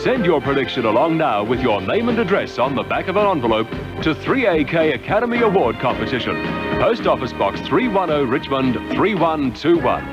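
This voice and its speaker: A man reads a scripted radio promotion. His voice is animated and swings in pitch over loud music. The recording is a dull old broadcast tape. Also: a little fast. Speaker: advertising announcer.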